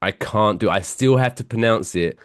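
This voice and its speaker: Deep-voiced British man with a cold. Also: normal speed, no room sound, studio quality. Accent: British English softened by years in the US. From England. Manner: chatty, self-mocking storyteller. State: stuffy with a cold. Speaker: podcast co-host. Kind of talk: casual podcast chat.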